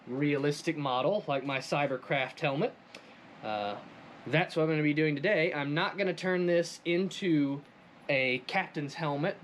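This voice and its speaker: A man's voice: a little high, slight background noise. A man hosting a hands-on craft tutorial, explaining each step in a casual, explanatory way. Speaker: host of a craft tutorial channel.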